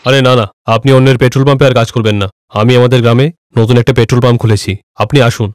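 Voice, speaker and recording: A man's voice: mid-range voice, fairly even intonation, fast, natural voice. A man voicing a wealthy, authoritative but generous father in a cartoon story.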